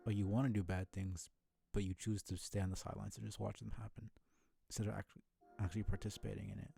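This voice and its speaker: A man's voice: fairly low pitch, flat delivery, fast, vocal fry, dark tone. A man streaming a video game with casual, argumentative and sardonic running commentary. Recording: game music behind the voice.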